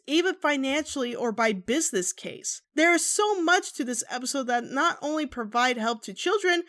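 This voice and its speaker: A woman's voice: high-pitched, a bit bright. A woman giving scripted review commentary as a guest.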